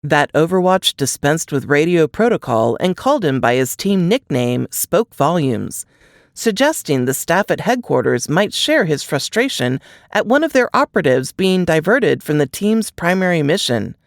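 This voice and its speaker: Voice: mid-range voice, sing-song, moderate pace, dry recording, studio quality. A narrator reading a suspense novel aloud in scripted audiobook style.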